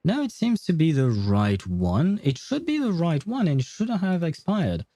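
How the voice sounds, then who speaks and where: A man's voice: fairly high pitch, huge pitch swings, measured pace, dark tone, no echo. A man streaming a game, reading chat and chatting in a rambling, hesitant way with many fillers and frequent laughs. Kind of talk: livestream gameplay commentary, reading chat.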